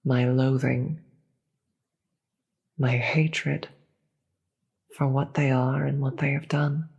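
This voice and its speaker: A performer voicing a proud, imperious demon queen in a fantasy audio roleplay, deliberate and theatrical, with a breathy voice and contempt for the gods. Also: medium pitch, little pitch movement, a little mellow, lo-fi sound, exaggerated voice. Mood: scornful, resolute. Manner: deliberate, theatrical, grandiose speech with dramatic pauses.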